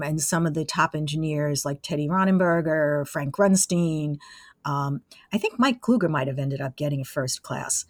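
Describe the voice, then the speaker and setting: A woman in her sixties telling casual anecdotes as an interview guest, with an animated storytelling manner. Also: low-pitched, no echo, studio quality. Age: 60s. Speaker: interview guest, former college radio engineer.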